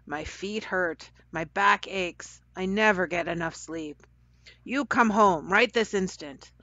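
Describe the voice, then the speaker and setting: A woman's voice: lowish voice, slow pace, bright, forceful tone, no room sound, exaggerated voice. A woman voicing a weary older female servant who nags and complains, in a dry, dull recording. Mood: weary scolding. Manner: complaining, nagging, guilt-tripping.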